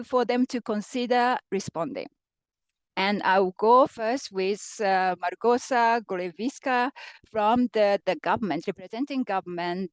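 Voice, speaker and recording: A woman with a Japanese accent moderates a conference panel, picking her words in a halting way and restarting often. Her voice sits at a middle pitch for a woman. The sound is dry and close, with almost no room around it. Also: no room sound. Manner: halting, with frequent restarts and fillers.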